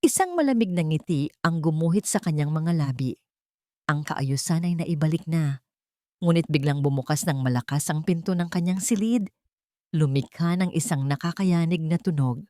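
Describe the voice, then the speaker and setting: A woman reading a dramatic scripted story as narrator, in a measured and solemn manner. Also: low voice, darkish tone, no echo, clean studio sound.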